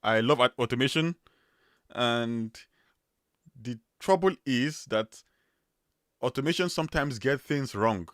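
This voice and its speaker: A man's voice: mid-range voice, lively intonation, a little slow, long pauses, a bit bright, no echo, clean studio sound. A man with a strong Nigerian accent hosting a casual livestream, chatty and loose with many fillers and restarts. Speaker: livestream host.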